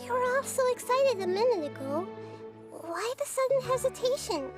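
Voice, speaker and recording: A woman voicing a small, gentle, wise child goddess in a video game, light and childlike, speaking in a measured, articulate way. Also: very high-pitched, sing-song, music in the background. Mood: eager, curious. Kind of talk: video game dialogue acting.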